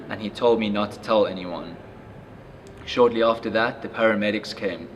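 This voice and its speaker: A young man reading a life story aloud, then reflecting in a halting way with lots of fillers. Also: on the low side, a bit bright, a little hiss or hum. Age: young adult. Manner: halting, reflective when speaking freely, with many fillers. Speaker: youth reader.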